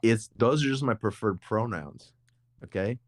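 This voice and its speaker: Young male podcast co-host, deep voice, rambling, repeats himself. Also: lively intonation, normal speed, no room sound, clean studio sound. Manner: rambling, repeats words and restarts often. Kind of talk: casual conversation.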